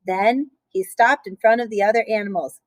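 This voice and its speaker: A woman reading a picture book aloud for children in a playful, expressive way, with chatty asides. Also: mid-range voice, moderate pace, no room sound.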